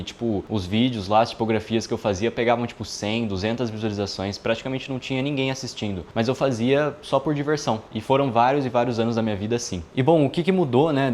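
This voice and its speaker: A man in his early twenties, a video editor, talking casually to his viewers in a relaxed, chatty way with frequent filler tags. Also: mid-range voice, a little hiss or hum. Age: 20s. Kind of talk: casual vlog monologue.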